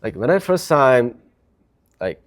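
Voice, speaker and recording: A man's voice: mid-range voice, very animated, slow. A man from Japan, a native Japanese speaker with a Japanese accent, chatting casually and enthusiastically as a guest. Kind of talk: casual chat.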